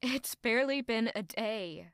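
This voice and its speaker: A woman's voice: a little high, unhurried, a bit bright, smooth, no echo, clean studio sound. A woman playing a stubborn, guarded young noblewoman in an audio drama, speaking in a curt, sulky way.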